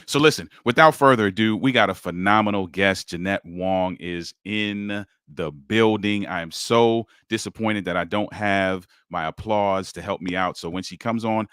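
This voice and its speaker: Deep bright-toned podcast host, man. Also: normal speed, few pauses, clean tone, no room sound, clean studio sound. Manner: upbeat, preachy and encouraging. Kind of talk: livestream podcast talk.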